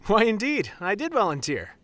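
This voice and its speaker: A man's voice: sits high, wildly swooping pitch, normal speed, a bit bright, no room sound, exaggerated voice. A man playing a proud, playful wedding officiant with a theatrical, formal manner in an audio roleplay drama. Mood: proud, teasing.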